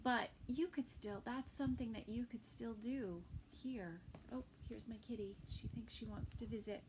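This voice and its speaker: A woman teacher reading a picture book aloud to small children, gentle and unhurried, with soft whispered asides. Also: fairly high pitch, noisy background. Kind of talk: children's story time read-aloud.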